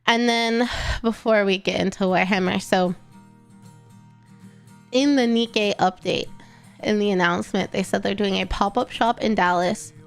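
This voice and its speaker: A woman's voice: medium pitch, fairly even intonation, normal speed, creaky, pauses often, smooth, faint music behind. A young woman streamer from Texas, sounding congested and nasal, rambling casually to her live chat and correcting herself as she goes. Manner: rambling, self-correcting, chatty.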